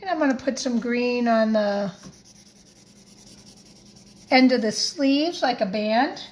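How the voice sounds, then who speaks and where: A woman teacher gently and encouragingly talking young children through a craft in simple words as she demonstrates it. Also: on the high side, expressive, takes it slowly. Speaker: primary school teacher leading a craft. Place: home kitchen, by the refrigerator. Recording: echoey room with faint background noise.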